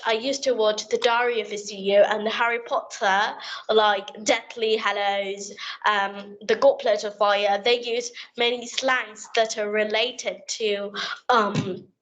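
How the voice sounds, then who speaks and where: A woman's voice: medium pitch, a bit bright, low-quality recording. A young teenage girl from Bangladesh, a Bengali speaker, chatting eagerly and wordily in English. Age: young teen, class seven student. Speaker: English learner and YouTuber. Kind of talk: casual video chat.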